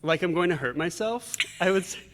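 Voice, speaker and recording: A man's voice: high-pitched, animated, a little fast, a bit bright. A young adult man speaking casually and conversationally as a guest in an interview. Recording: long reverberant hall echo.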